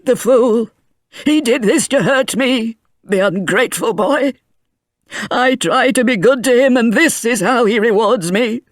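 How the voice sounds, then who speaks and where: A man reading an audiobook, voicing a frantic, grieving old grandfather in high, cracking outbursts. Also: a little slow, no room sound, clean studio sound. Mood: grief-stricken.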